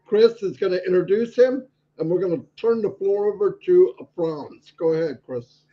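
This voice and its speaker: A man co-hosting a live stream, introducing a guest in a halting way with fillers. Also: high-pitched. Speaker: co-host. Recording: dull lo-fi stream audio.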